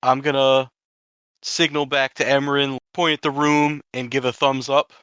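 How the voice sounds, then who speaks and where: A man's voice: mid-range voice, flat delivery, measured pace, a bit bright, smooth, no echo, lo-fi sound. A man taking part in a tabletop game as a player, chatting casually about his moves.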